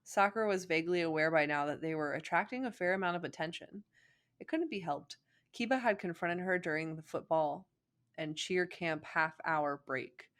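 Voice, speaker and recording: A woman with a slightly low voice reads a story aloud. Her narration is expressive and she often breaks into casual, chatty comments. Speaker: fan fiction reader.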